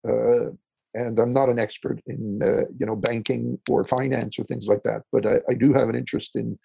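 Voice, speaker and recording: A man from Eastern Ontario whose first language is French, answering interview questions as a consultant in a halting way, with many fillers and restarts. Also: medium pitch, little pitch movement, vocal fry, dark tone, husky. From Eastern Ontario, Canada. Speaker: guest, consultant.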